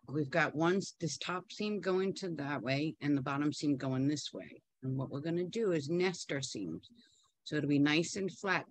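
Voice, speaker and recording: A woman's voice: low voice, dry recording. Chatty middle-aged woman quilting teacher. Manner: chatty, practical, talks while working. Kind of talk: casual sewing tutorial. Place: home sewing studio.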